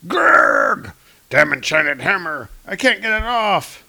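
A man voicing a coarse, cowardly and blustering dark elf soldier from a comic book. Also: fairly high pitch, expressive, measured pace, vocal fry, pitched above the performer's own voice, exaggerated voice. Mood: panicked anger. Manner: blustering, exclamatory, insult-laden.

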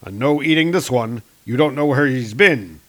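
A man's voice: medium pitch, animated, moderate pace, natural voice. A man voicing a hearty, confident warrior god hero from a comic book with lofty, archaic phrasing.

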